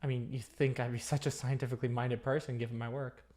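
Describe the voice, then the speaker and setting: Someone doing a possessive hungry vampire lab tech, chatty asmr roleplay, coaxing but menacing, mid-low voice. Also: flat delivery, quick pace, mellow, lightly acted. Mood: casually teasing. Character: obsessive, dominant yandere vampire lab technician, starved for blood.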